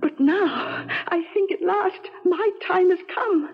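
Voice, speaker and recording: High-voiced ghostly bride, mournful. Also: female voice, slow pace, full character voice. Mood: mournful, resolute. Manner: formal, old-fashioned, dramatic phrasing. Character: doomed ghostly 18th-century bride, mournful and resolute. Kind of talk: 1970s radio drama acting. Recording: dull 1970s radio broadcast.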